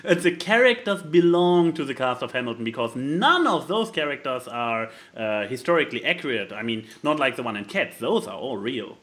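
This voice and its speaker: A man's voice: mid-range voice, big pitch swings, normal speed, hardly any pauses, a bit bright. A man co-hosting a podcast, chatting casually with dry sarcasm. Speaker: podcast co-host. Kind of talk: casual chat.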